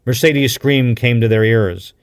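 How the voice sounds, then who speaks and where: A man reading an adventure novel aloud with a deliberate, dramatic storytelling delivery, like a practiced broadcaster. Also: medium pitch, clear, resonant tone. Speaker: audiobook reader, radio broadcaster.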